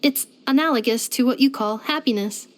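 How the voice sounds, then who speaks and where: A woman's voice: sits high, fairly quick, natural voice. A woman voicing a polite, devoted household robot companion in a video game, speaking in formal, precise, computer-like phrasing. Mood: polite, matter-of-fact. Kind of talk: scripted video game character voice lines.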